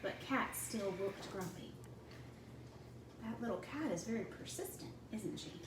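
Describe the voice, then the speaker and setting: A woman reading a picture book aloud to young children in a deliberate way, pausing for asides to the listeners. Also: mid-range voice, expressive. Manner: slow, deliberate phrasing for children. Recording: roomy, distant mic with clear background noise.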